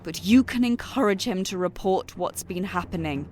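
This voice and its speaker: A woman playing a firm, moralising story character, speaking insistent, measured rhyming lines in an audio drama. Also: fairly low pitch, darkish tone, faint music behind, natural voice. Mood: reproachful, pleading. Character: firm, moralising female character confronting her author.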